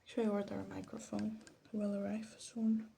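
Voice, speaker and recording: A woman giving casual ASMR commentary in a soft, breathy half-whisper, musing to herself without hurry. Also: mid-range voice, little pitch movement, a little fast. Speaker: vlog host. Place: her bedroom. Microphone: phone. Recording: faint background noise, phone microphone.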